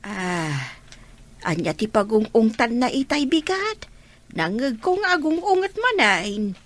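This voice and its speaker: A woman's voice: fairly high pitch, sing-song, slow, heavily acted. A woman playing a kindly old grandparent in a radio drama, inquisitive and sympathetic, with an Ilocano accent. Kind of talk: Filipino radio drama acting.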